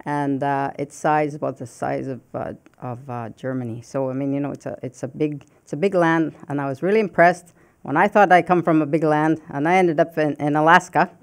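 Older woman, native Cree speaker, panel talk, smooth clear voice, many pauses and restarts. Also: low-pitched, fairly even intonation. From northern Saskatchewan, Canada. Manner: halting, many fillers and restarts. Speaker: panelist, political scientist. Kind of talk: panel discussion remarks. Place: conference stage. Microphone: desk microphone.

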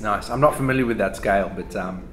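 A man's voice: lowish voice, fast. A man in his thirties with an Australian accent, chatting casually as he tastes food at a table. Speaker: company CEO tasting food. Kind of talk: casual on-camera reactions. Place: indoor studio set at a table. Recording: roomy studio with music.

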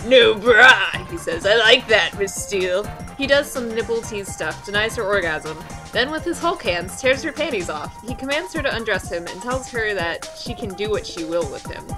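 A woman's voice: medium pitch, very animated, moderate pace, hardly any pauses, over music. A woman co-hosting a casual podcast, reading a chapter summary with wry, sarcastic asides. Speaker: podcast co-host.

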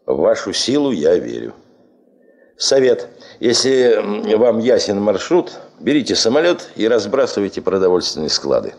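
A man's voice: lowish voice, big pitch swings, darkish tone. An actor playing a stern, curt male expedition boss in a 1970s Soviet radio drama. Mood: stern, commanding. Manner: curt, imperative, measured.

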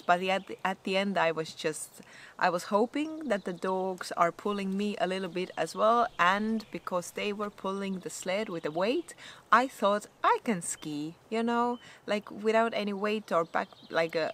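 An Estonian-accented woman in her thirties speaks into a camera held at arm's length in a forest clearing. Her delivery is chatty and candid, full of fillers and easy self-mockery, pitched at an ordinary middle height. Faint outdoor air hangs behind her. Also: a bit bright, slight background noise. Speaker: vlogger. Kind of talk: casual vlog talk to camera.

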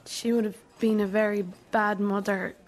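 An actress playing a thirty-year-old Irish daughter in a radio drama, direct and questioning, with a wounded resentment. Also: female voice, mid-range voice, little pitch movement, normal speed, close to an everyday voice. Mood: wounded, resentful. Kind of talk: naturalistic radio drama acting.

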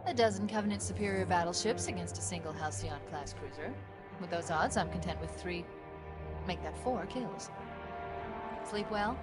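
A woman playing a confident, witty artificial intelligence in a video game, crisp and wry in delivery. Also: fairly low pitch, natural voice. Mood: wry, teasing. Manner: crisp, wry, quick-witted. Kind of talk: dramatic video game acting. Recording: game audio under loud music.

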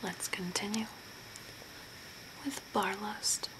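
A young woman playing an eerie, soothing zombie-makeover artist in a soft, breathy ASMR whisper, slow and deliberate. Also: medium pitch, steady tone, close to an everyday voice. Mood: hushed, eerie. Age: young adult. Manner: slow, hushed, deliberate, spooky. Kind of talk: whispered ASMR role play. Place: small room at home by a window. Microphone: close camera at arm's length. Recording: noisy home recording with ambience.

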